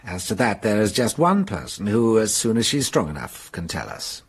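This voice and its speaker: A husky detective. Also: male voice, on the low side, sing-song, moderate pace, heavily acted. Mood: explaining. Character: shrewd middle-aged male French police detective. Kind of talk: radio drama acting.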